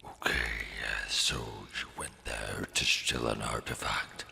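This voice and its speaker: A man voicing a huge, suspicious male dragon in an ASMR roleplay, with a gravelly, breathy growl and a deliberate, interrogating manner. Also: extremely low pitch, steady tone, bright, forceful tone, a little hiss or hum, voice pushed down, exaggerated voice. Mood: wary questioning.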